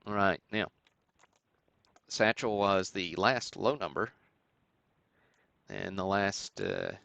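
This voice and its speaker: A man hosting a live stream, giving matter-of-fact commentary in a halting way with pauses. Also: low voice, animated, gravelly, fairly bright tone, no room sound. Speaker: host of a sports card break stream. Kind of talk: live show-and-tell commentary on vintage cards.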